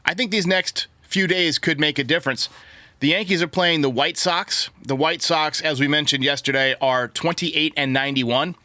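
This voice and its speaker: Male sports podcast host, bright forceful voice, higher-than-average pitch, conversational baseball commentary, dry sound. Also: normal speed, no echo.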